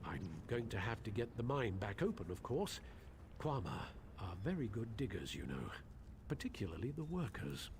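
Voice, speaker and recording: An actor playing an effusive, doting mine keeper in a fantasy video game. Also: male voice, fairly low pitch, big pitch swings, moderate pace, hardly any pauses. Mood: busy, determined. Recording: noisy game audio with ambience.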